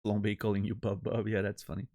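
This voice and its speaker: A man's voice: on the low side, monotone, fairly quick, darkish tone, no echo, studio quality. A man streaming and chatting casually with his viewers, joking and rambling with many restarts. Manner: rambling, self-interrupting, joking. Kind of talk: casual chat with live chat.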